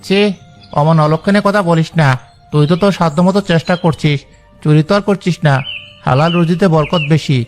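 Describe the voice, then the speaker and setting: A man's voice: on the high side, fairly quick, close to an everyday voice. A man voicing a poor, pious labourer in a cartoon drama, an earnest and reassuring friend. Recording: faint music behind the voice.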